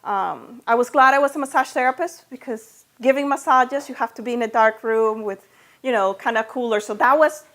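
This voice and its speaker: A woman's voice: on the high side, normal speed, a bit bright. A middle-aged woman from Venezuela with a Spanish accent gives an informal personal talk to a small group, conversationally checking in with listeners. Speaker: natural health practitioner giving a talk.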